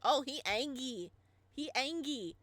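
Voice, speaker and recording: Woman doing visual novel commentary; gushing, playful, a fairly high voice with big swings in pitch. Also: expressive, slow pace, a bit bright, no room sound. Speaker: gaming video creator.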